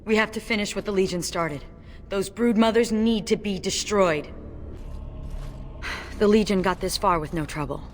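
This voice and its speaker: A woman playing a stoic female dwarf warrior in a video game, sober, dry and deadpan. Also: medium pitch, normal speed, long pauses, long reverb tail, a little hiss or hum, natural voice. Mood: grim, resolute.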